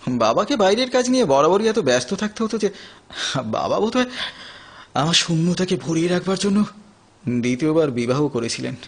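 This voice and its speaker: An actor playing a lonely, anguished man of thirty in an audio play, halting and emotional, repeating his phrases. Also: a little high, very animated. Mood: sorrowful, yearning. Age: 30s. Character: lonely, anguished thirty-year-old son of neglectful parents. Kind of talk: Bengali audio play acting.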